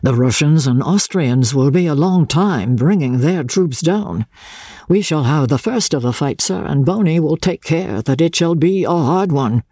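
A man voicing a shy, earnest young army captain who speaks gravely and respectfully to an older man. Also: on the high side, expressive, unhurried, darkish tone, no room sound, studio quality, voice pushed up, heavily acted.

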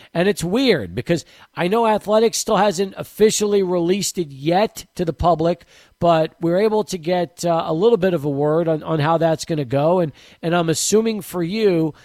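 A man's voice: a little high, a little mellow, no room sound. A man hosting a sports radio show, talking in a loose, rambling way with many restarts and repeated words. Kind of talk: casual radio interview.

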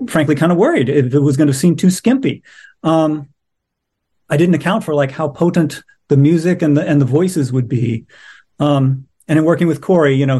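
A man's voice: on the high side, mellow. A man, a playwright, talking casually and reflectively as an interview guest.

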